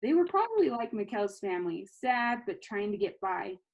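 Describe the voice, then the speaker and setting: A woman reading a children's novel aloud in an animated, expressive storytelling manner. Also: mid-range voice, moderate pace, muffled recording. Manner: animated, acts out dialogue.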